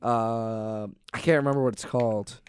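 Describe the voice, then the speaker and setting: A man's voice: medium pitch, steady tone, moderate pace, smooth, no echo. A young man co-hosting a sports radio show, bantering casually into a close studio mic.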